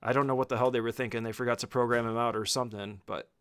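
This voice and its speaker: A man's voice: medium pitch, steady tone, very fast, fairly bright tone, clear, resonant tone. A man giving an informal, step-by-step speedrun tutorial as casual gameplay commentary, swearing freely.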